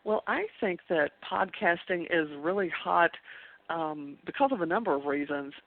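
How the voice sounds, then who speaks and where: A woman guest on a radio interview, explaining in a friendly, measured way. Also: lowish voice, no room sound. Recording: tinny dry phone line.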